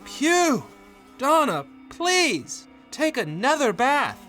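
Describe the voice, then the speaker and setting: A man playing a frantic, disgusted husband tormented by his wife, shrill, pleading and melodramatic, in a horror-comic reading. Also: huge pitch swings, extremely slow, bright, forceful tone, soft background music, exaggerated voice.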